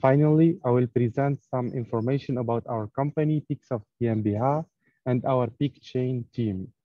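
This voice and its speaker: Brief: man from Tunisia, founder pitch, halting with fillers, tinny tablet-mic call audio, dry. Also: no room sound. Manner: slightly halting, many fillers, reading slides. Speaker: startup founder presenting a project. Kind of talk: online conference pitch. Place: hotel room. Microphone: tablet mic on a video call.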